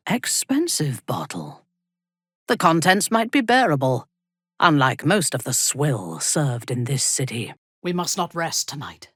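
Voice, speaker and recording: An actress voicing a ruthless, haughty female warrior commander in a video game, with a husky, breathy voice and an imperious, menacing delivery. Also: low-pitched, no echo, clean studio sound, exaggerated voice. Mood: dry, disdainful. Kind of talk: dramatic video game voice acting.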